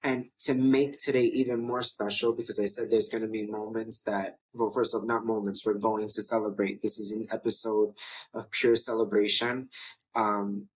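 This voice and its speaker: A man from New York with a deep voice, hosting his own online show in a casual, rambling talk to camera that often restarts mid-sentence. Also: little pitch movement, moderate pace, slight background noise, low-quality recording. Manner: chatty, rambling, self-interrupting. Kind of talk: casual talking-to-camera update.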